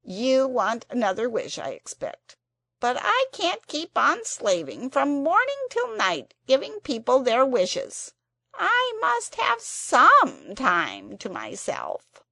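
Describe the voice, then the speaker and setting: A woman voicing a grumpy, self-pitying male sand fairy creature in a storybook, complaining peevishly and turning squeaky. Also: huge pitch swings, slow, gravelly, sharp tone, dry recording, full character voice. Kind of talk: storybook character voice.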